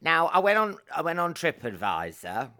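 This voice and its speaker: A man hosting a podcast, telling stories in a camp, animated way with frequent laughs and swearing. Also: on the high side, moderate pace. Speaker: podcast host. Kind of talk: casual chat.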